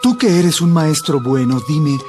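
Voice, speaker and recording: An earnest, wealthy male leader puts himself forward eagerly in a dramatized audio Bible. His voice is high for a man. Music is clearly heard behind him. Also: lively intonation, unhurried. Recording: background music under the voice.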